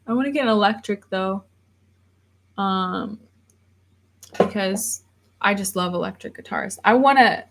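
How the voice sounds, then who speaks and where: A woman in her thirties, rambling casually on a livestream and reading viewer comments aloud before answering them. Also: mid-range voice. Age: 30s. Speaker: livestream host.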